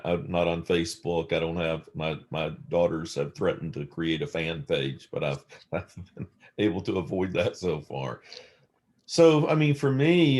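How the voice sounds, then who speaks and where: Slow, deep-voiced man, measured and halting. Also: a little mellow. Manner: hesitant, measured, halting delivery. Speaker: interview guest, theologian. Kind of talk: casual reflective storytelling.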